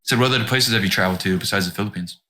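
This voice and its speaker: A man hosts a livestream, greeting viewers and asking the guest follow-up questions. He talks very fast. His voice is bright and forceful. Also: lowish voice. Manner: friendly, quick, asks follow-up questions. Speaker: stream host. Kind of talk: casual livestream hosting.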